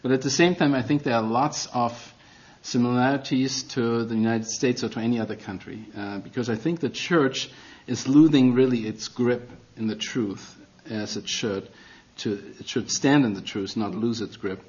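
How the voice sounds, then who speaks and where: A man's voice: mid-range voice, normal speed, few pauses, darkish tone, muffled recording. A middle-aged man with a German accent preaching a sermon as a guest, earnest and self-deprecating, with non-native phrasing.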